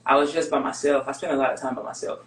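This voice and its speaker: Greek-born woman, candid, in a roomy space. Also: a bass voice. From Greece. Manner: candid, steady, measured with pauses. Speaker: guest, basketball player. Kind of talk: reflective interview storytelling.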